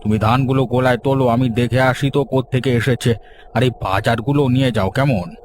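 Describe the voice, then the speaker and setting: A man's voice: medium pitch, fairly even intonation, a little fast, noisy background, natural voice. A man voicing a wary, fussing village farmer and husband in a cartoon. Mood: suspicious. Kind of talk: Bengali cartoon voice acting.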